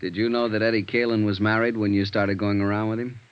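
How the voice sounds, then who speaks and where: An actor playing a sardonic, weary police sergeant in a 1950s radio drama, questioning with a dry needling manner. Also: male voice, on the low side, little pitch movement, fast, clear, resonant tone, lightly acted. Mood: probing. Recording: dull vintage radio recording.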